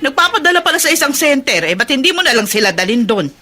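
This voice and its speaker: An actress playing a resentful, hot-tempered wife in a radio drama, scornful and confrontational. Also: female voice, high-pitched, very animated, quick pace, pushed and bright, faint music behind, heavily acted. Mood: scornful, angry.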